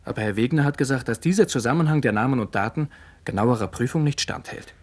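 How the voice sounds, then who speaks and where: An actor playing a rebellious nineteen-year-old son in a radio play, earnest, critical and argumentative. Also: male voice, medium pitch, fast, darkish tone, breathy edge, natural voice. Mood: defensive, earnest. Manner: emphatic, argumentative. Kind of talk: late 1960s radio play acting.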